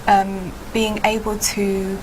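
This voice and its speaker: A gentle woman child psychotherapist explains her work in a thoughtful, unhurried manner, pausing often to find her words. Her voice sits in an ordinary mid register. Clear hiss runs under the recording. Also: medium pitch, flat delivery. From United Kingdom. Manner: gentle, thoughtful, pauses with fillers. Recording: hissy background noise.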